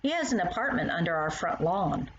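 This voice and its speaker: Woman playing kindly storybook older lady, patient, playful, lower-pitched and animated, lo-fi sound. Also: brisk, a little hiss or hum. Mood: playful explaining.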